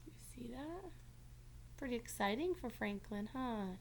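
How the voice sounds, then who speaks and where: A woman's voice: fairly high pitch, expressive, unhurried, a little mellow, slight background noise. A woman reading a storybook aloud as a teacher, encouraging and stopping to check in with young listeners. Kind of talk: storybook read-aloud with questions to children.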